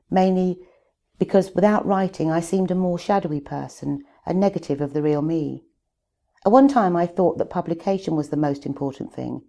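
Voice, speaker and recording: An older author from Wales reads her own memoir aloud, with wry, gentle humour. Her voice is low and mellow, and her delivery is unhurried and measured.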